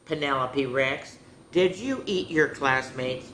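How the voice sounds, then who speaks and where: Deadpan dinosaur dad, as a woman reads him, unhurried, in a roomy space with background noise. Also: a bass voice, full character voice. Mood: stern. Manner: calm, deadpan, matter-of-fact. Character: calm father dinosaur, dry and wry.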